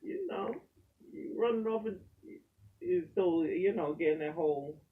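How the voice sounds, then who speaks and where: A man, a pastor and poet, talking in an animated, preacherly way in a casual faith conversation. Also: high voice, measured pace, distant mic, phone-quality sound.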